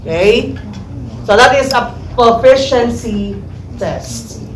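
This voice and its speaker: A woman's voice: on the high side, very animated, takes it slowly. A woman teacher with a Filipino accent lecturing a class and often checking "okay?", slipping into Tagalog asides. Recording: roomy echo, faint background noise.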